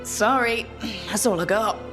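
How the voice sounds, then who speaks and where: An actress playing a confident, wry adventurer in a video game, with a direct manner. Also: female voice, medium pitch, unhurried, over music, natural voice. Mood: playful teasing. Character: confident, wry adventuring woman, determined and teasing. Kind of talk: video game character acting.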